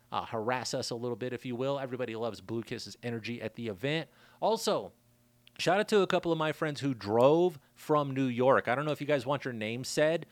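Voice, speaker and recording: A laid-back man talks straight into a close studio mic, his throat worn raspy. He rambles casually through stories and shout-outs. The room is dead dry, with no echo. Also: medium pitch. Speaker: podcast host.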